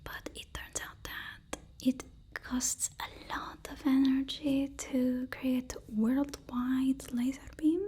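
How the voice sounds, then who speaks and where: A woman playing a deferential, flustered personal assistant to a supervillain in a halting, whispered ASMR roleplay. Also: high-pitched, level tone, exaggerated voice. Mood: placating, hesitant. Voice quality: soft, breathy whisper.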